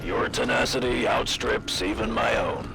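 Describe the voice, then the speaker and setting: An actor playing a proud, weary colonial governor in a video game, speaking in a solemn, deliberate manner. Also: male voice. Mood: solemn, resigned. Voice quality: deep, gravelly. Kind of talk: dramatic video game acting. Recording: loud music and effects behind.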